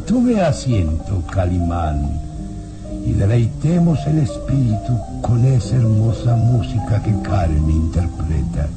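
A suave, sinister baron in a radio drama. He draws out his words slowly, with theatrical swells in pitch and menacing courtesy, over prominent music. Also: big pitch swings. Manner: theatrical, deliberate, drawn-out phrasing with sinister chuckles.

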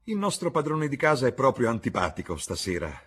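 A man's voice: medium pitch, big pitch swings, a little fast, a bit bright, husky, pitched above the performer's own voice. A man voicing a flirtatious, witty aristocratic duchess in arch, teasing society talk for an audiobook. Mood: playful complaint.

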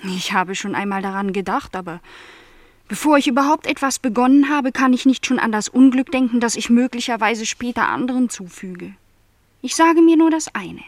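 A woman playing a young, lonely housemaid in a radio play, naive and earnest, speaking formal phrases that sometimes drop to a hush. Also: on the high side, normal speed, dry recording, lightly acted. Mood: earnest, determined. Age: young adult. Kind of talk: German radio play dialogue.

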